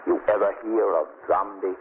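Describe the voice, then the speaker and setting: A man acting a grim island pilot in an old radio horror play. His voice is low, and he gives out short, cryptic phrases in broken English. The sound is tinny, with a faint hiss. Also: flat delivery, moderate pace, lightly acted. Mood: ominous. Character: ominous, surly island boat pilot of few words.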